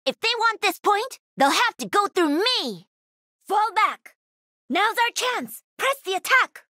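Young female gamer mech pilot, lively swooping intonation, dry studio sound, cocky, playful, punchy video game lines. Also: extremely high pitch, no echo, full character voice. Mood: confident, commanding.

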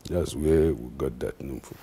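A Nigerian man, a traditional ruler, answering interview questions in a halting way with many fillers. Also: a bass voice, gravelly, mellow, a little hiss or hum.

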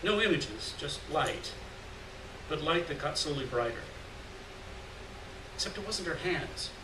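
A middle-aged man giving a dramatic, deliberate live reading of a literary story from a lectern. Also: fairly high pitch, animated. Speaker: reader of short fiction. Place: indoors at a lectern in a gallery room. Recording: roomy, distant, noisy live room recording.

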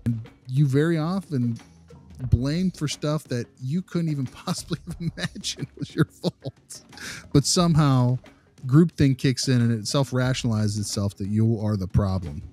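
A man's voice: mid-range voice, moderate pace, dark tone, breathy edge, soft background music. A man talking through his opinions in a casual podcast discussion, repeating phrases for emphasis. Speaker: podcast co-host, behavior analyst.

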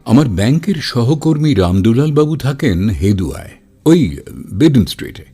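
A man's voice: medium pitch, big pitch swings, normal speed, warm tone, natural voice. An actor playing a man who narrates a horror story in the first person, as one recalling his friends. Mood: storytelling. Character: male friend recounting a fateful ghost story. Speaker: narrator and character in audio drama. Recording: long reverb, music behind.

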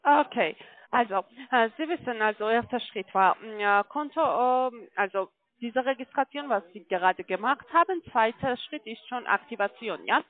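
A non-native German-speaking woman with a foreign accent giving a telephone sales pitch as a call-centre agent, often checking in as she goes. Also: animated, normal speed, no echo. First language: not German. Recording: muffled, tinny phone line.

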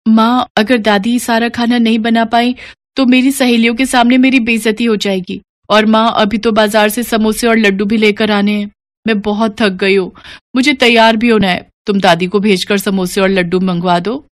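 Lady doing a spoiled young girl in a cartoon, whiny, demanding, highish voice. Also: fairly even intonation, moderate pace, natural voice. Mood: whining.

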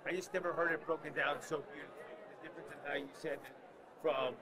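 A man's voice: on the high side, steady tone, quick pace, crowd noise. A man speaking up from an audience with a spontaneous question, faint and distant from the microphone. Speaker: audience member asking a question.

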